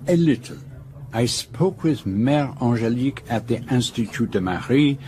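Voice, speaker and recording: A man acts out a middle-aged detective with a medium-pitched voice. He is formal, measured and inquisitive, in the manner of an audio drama, with faint noise behind him. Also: animated, dark tone, natural voice. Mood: pensive.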